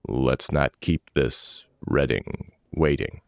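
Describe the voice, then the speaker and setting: A man voicing a big, blunt minotaur warrior who speaks in terse, gruff phrases for a fantasy story. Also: rumbling low, lively intonation, slow, dry recording, exaggerated voice. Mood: grim resolve.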